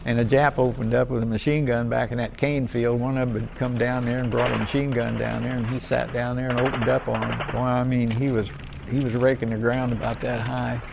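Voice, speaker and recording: A man's voice: medium pitch, little pitch movement, a little fast, creaky, background noise. An elderly American war veteran, a man, telling his memories in a folksy, rambling, colloquial way. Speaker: WW2 veteran recalling service. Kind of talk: spontaneous oral history storytelling.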